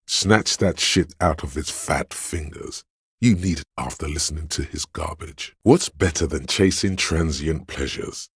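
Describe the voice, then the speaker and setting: A man voicing a sleazy, hedonistic inner voice of addiction in a video game, with a slow, coaxing, streetwise manner and a deep gravelly, husky sound. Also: no echo, studio quality, full character voice. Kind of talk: video game character voice acting.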